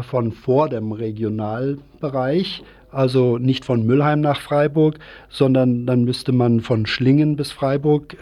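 Male interview guest, soft dark tone, hesitant explainer, activist speaking off the cuff. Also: mid-range voice. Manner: halting, explanatory. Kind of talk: unscripted radio interview.

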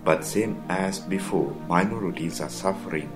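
Sri guy, really low voice, reading a radio intro in halting english, music playing under him. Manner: slow, broken English phrasing. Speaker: radio host. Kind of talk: scripted radio intro narration. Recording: music bed behind the voice.

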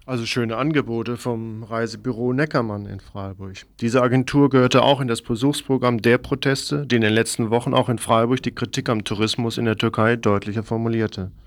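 A male radio reporter with a noticeably creaky voice reads from a script. The delivery is formal and factual, at a middling pitch, recorded close and dry. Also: steady tone, a little fast, hardly any pauses, dry recording.

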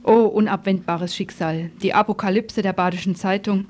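A woman's voice: medium pitch, fairly even intonation, normal speed, a little mellow. A woman radio reporter reading scripted narration with ironic, dramatic wording.

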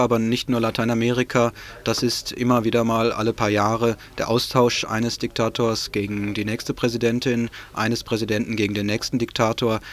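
Flat-pitched man with sardonic delivery. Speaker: radio presenter. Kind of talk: political radio commentary.

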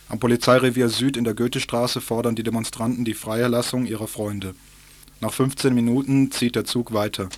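A man narrating a radio documentary on past events in a steady, even reading. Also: mid-range voice, dry recording.

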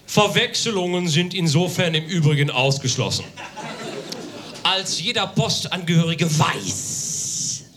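A man from Germany doing a live comic reading on stage, deadpan and mock-formal with emphatic declamation. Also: fairly high pitch, a little slow, a crowd in the background. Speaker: comedic reader on stage. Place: live on stage in a theatre café, audience laughter behind.

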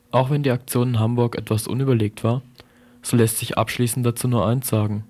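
Mellow man reading news, little pitch movement. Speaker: radio news reader. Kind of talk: scripted news report.

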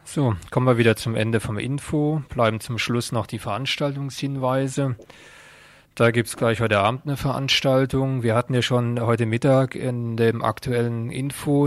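A man's voice: mid-range voice, no echo. A man presenting a radio news magazine, reading prepared text and informal announcements.

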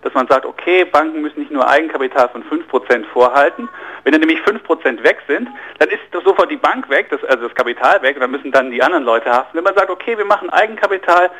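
Guy with a higher voice on a radio interview explaining finance stuff, earnest, keeps repeating words as he goes. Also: lively intonation, quick pace, a bit bright. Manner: earnest, explanatory, often restarts and repeats words mid-sentence. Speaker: interview guest, finance journalist and author.

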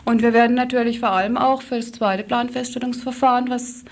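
A woman interviewed on radio as a campaign activist, explaining things spontaneously and haltingly, correcting herself as she goes. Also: on the high side, steady tone.

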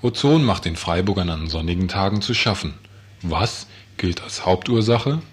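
A man with a husky voice reading a script as a radio co-presenter. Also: deep voice, lively intonation, normal speed.

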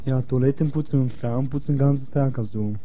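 A man's voice: mid-range voice, brisk, mellow. A man from Kurdistan with a Kurdish accent, speaking earnest, broken German and repeating himself. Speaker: asylum seeker. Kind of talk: casual chat.

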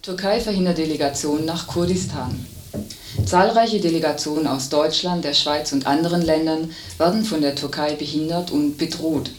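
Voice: mid-range voice, moderate pace. News reader, scripted radio bulletin, roomy sound, faint background noise. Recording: roomy, distant, hissy radio recording.

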